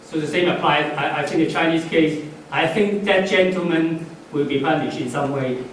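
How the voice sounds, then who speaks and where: A middle-aged man, Cantonese by first language, speaks in a slightly high voice with halting phrases, many fillers and restarts. He is recorded from a distance in a strongly echoing conference hall, with faint noise behind him. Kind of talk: unscripted closing remarks. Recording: echoey hall, far from the mic, faint noise.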